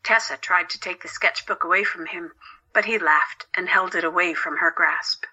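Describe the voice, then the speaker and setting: A woman's voice: on the low side, normal speed, sharp tone, slightly rough, muffled recording. A woman narrating a scripted story as the narrator of an audio drama.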